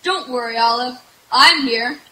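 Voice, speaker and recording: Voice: mid-range voice, unhurried, lightly acted. An actor playing an eager, plucky young boy in a cartoon radio play. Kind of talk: old-time radio cartoon acting. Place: outdoor stage in bamboo woods. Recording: live outdoor stage, background noise.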